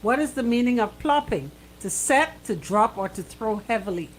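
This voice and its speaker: A woman's voice: a little high, expressive, normal speed, slight background noise, poor audio. A woman presenting a radio segment with playful banter. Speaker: radio show host.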